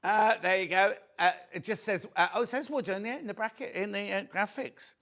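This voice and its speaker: High-voiced chatty male host. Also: a little fast. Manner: chatty, reads viewer messages aloud warmly. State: slightly croaky voice. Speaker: shopping channel host. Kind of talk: live TV shopping presenting.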